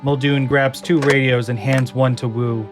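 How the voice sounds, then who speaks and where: A man narrating a scripted audio drama in a measured, descriptive manner. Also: medium pitch, little pitch movement, music in the background.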